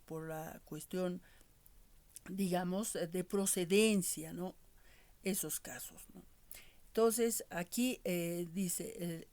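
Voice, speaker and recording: A woman giving a class lecture in a measured way, often ending her points with tag questions to her students. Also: on the low side, darkish tone.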